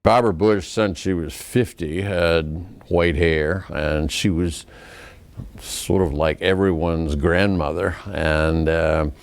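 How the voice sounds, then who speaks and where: A man's voice: low voice, steady tone, takes it slowly, smooth. An older man speaking in an interview. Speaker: former hospital board chairman. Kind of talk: interview response.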